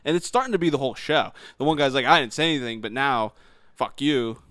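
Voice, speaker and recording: A man's voice: medium pitch, quick pace, a bit bright, dry recording, clean studio sound. A man co-hosting a podcast with casual gaming banter, full of swearing and joking trash talk. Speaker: podcast co-host.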